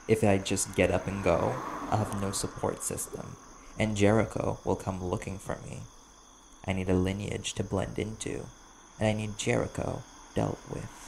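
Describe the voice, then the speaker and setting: A man playing a cautious, sardonic vampire bounty hunter in an audio roleplay, speaking in a gravelly voice with measured, dry delivery and pointed pauses. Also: on the low side, noisy background. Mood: guarded, serious. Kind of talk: audio roleplay, slow dramatic monologue to the listener.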